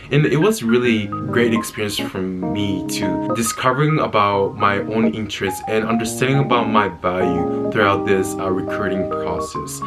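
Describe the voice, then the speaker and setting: A young man whose first language is Japanese, telling a personal story in a halting way with many fillers and restarts. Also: lowish voice. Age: young adult. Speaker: vlogger. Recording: loud guitar music underneath.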